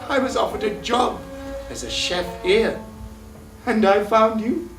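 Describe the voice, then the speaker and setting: A man's voice: high voice, a little slow. An actor playing a remorseful male chef who earnestly confesses an old lie on a live stage. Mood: pleading. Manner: earnest, pleading. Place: theatre stage. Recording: roomy stage from a distance, music.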